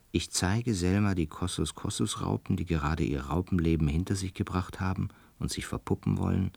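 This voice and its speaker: An earnest, husky-voiced butterfly breeder. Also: male voice, low-pitched, heavily acted. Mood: pensive, bewildered. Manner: formal, hesitant, pedantic explainer. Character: earnest, awkward male lodger who breeds butterflies. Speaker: narrator and main character. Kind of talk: German radio play, dialogue and reflective narration.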